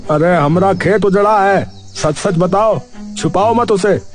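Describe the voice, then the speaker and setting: Man acting high-voiced, distraught village farmer. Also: takes it slowly, faint music behind. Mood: accusing. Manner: wailing, rural colloquial speech. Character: distraught rustic farmer robbed of his crop. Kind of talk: Hindi moral story voice acting.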